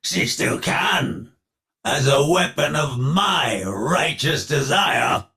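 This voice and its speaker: An actor playing a ruthless, grandiose older industrialist villain in a video game, speaking in a theatrical, pompous and declamatory way with a gravelly voice. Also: male voice, on the high side, sing-song, bright, forceful tone, heavily acted. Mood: sly, goading. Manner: pompous, declamatory, slow deliberate phrasing.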